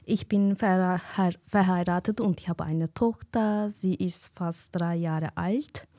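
A woman from Turkey, speaking slowly and hesitantly as a guest on a community radio show, pausing often to find her words. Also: on the low side, warm tone, no room sound, studio quality. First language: Kurdish. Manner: hesitant, searching for words with many fillers. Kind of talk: casual radio chat.

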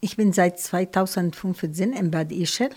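A woman with an Arabic accent speaking German as a second language in a radio studio interview, halting, with grammar slips and frequent fillers. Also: lowish voice, darkish tone. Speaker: studio guest, volunteer refugee helper.

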